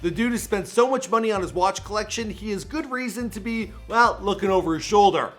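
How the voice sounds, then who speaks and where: A man's voice: high voice, fairly quick, fairly bright tone, faint music behind. A man with a Toronto accent hosting a countdown video in a jokey, slangy, punchy way. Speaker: host. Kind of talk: energetic countdown commentary.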